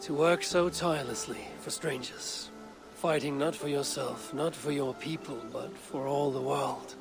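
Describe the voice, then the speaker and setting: A man playing a stoic Viking warrior from a historical video game, with a gravelly mid-range voice. The delivery is measured, solemn and plain-spoken, over music. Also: medium pitch, fairly even intonation, close to an everyday voice. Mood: respectful. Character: stoic, warm-hearted Viking warrior leader of a clan.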